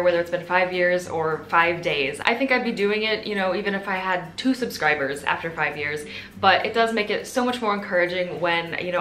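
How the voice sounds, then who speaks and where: A woman in her twenties with a soft, quiet voice, chatting casually to camera as a video host. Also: lowish voice. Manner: soft-spoken, chatty with fillers. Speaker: YouTuber. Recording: roomy echo.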